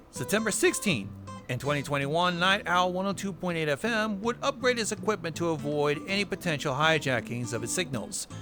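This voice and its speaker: A man hosting a narrated recap, with wry asides and casual swearing. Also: a little high. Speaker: video host. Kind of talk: narrated recap of horror web series. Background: music bed.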